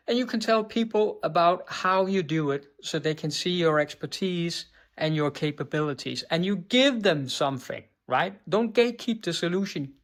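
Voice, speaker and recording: A man from Denmark with a Danish accent, a business coach talking straight to camera, blunt and profane, often tagging "right?" and stumbling over words without stopping. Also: high-pitched. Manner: stumbles and restarts words, unbothered. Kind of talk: direct-to-camera monologue.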